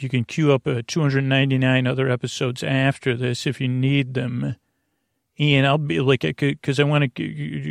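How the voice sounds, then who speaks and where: Male sleep-podcast host, mid-pitched with heavy vocal fry, halting and digressive, meandering delivery. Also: dry recording, studio quality. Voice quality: gravelly, creaky voice. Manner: halting, stammering, trails off and loses his thread. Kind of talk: rambling, meandering monologue to lull listeners to sleep.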